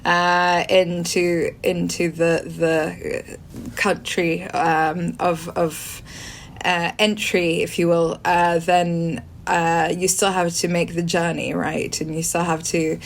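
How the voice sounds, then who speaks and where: A woman in her forties from Sweden with a British accent, a podcast guest who answers at length with many pauses, ums and restarts. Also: lowish voice, level tone, creaky, slight background noise. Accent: British English. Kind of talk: conversational interview answers.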